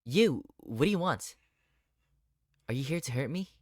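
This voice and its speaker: Man voicing an anxious, raised-pitch young horror game protagonist. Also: animated, unhurried, dry recording, clean studio sound. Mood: panicked. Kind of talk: dramatic game character reading.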